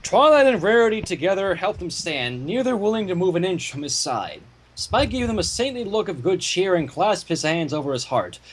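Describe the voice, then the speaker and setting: A man reading fan fiction aloud in a theatrical storytelling style, with casual asides and a husky voice. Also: high-pitched, sing-song, normal speed, low-quality recording.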